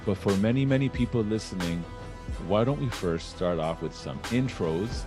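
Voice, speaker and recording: A man's voice: lowish voice, expressive, normal speed, music in the background. A man hosting an interview show in an effusive way, repeating words for emphasis. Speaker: podcast host.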